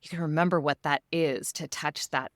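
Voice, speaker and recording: Expressive lady, voice a bit low, acts out feelings while chatting in an interview, dry audio. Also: moderate pace, no echo. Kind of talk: casual interview chat.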